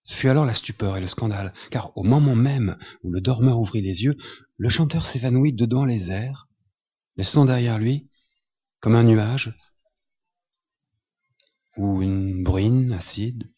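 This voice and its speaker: A man reading a literary tale aloud at a tribute event, with a measured storytelling delivery, in a dull lo-fi recording. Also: lowish voice, warm tone, breathy edge. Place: small cinema salon.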